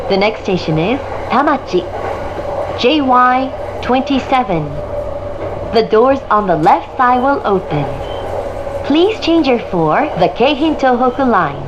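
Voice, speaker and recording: A woman reading a scripted train announcement in a measured, clearly enunciated way. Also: very animated, muffled recording. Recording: loud train noise throughout. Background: rumble of a moving train carriage.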